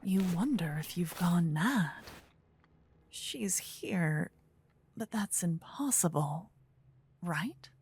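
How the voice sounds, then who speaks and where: A woman softly narrating a scripted fantasy ASMR story, breathy and often close to a whisper on a binaural mic. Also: fairly low pitch, expressive, very slow, pauses often, a little hiss or hum. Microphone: close binaural microphone.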